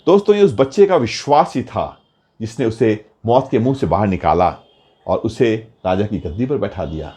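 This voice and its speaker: A man telling a motivational story in an earnest, deliberate way, with rhetorical pauses. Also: lowish voice, expressive, mellow.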